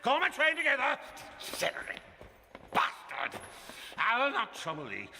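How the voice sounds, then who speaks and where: A man's voice: very high-pitched, wildly swooping pitch, a little slow, creaky, pushed and bright, a little hiss or hum, full character voice. An actor playing a proud, aged king in classical Shakespearean radio drama, theatrical and declamatory, swinging from murmur to roar. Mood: furious. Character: proud, aged king raging at his daughter. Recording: reverberant hall-like radio drama sound.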